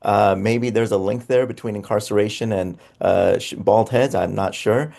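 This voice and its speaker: A middle-aged man from Afghanistan introducing himself on a discussion panel, speaking in a formal, measured way with frequent uhs. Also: on the low side, fairly even intonation, creaky, warm tone. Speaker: program director, panelist.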